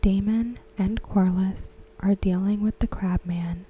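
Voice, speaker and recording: A woman whispering softly and without voice, unhurried and very slow. Her tone is deeply mellow and dark, and a faint hiss sits under the recording. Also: lo-fi sound. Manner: soft unvoiced whisper, slow and even. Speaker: ASMR creator. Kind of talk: whispered ASMR recap.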